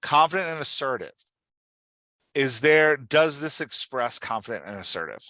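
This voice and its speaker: Bright-voiced man coaching, close and dry. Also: medium pitch, very animated, normal speed, dry recording. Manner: direct, instructive, often checks understanding. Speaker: podcast host coaching an author on dialogue. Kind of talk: casual one-on-one coaching talk.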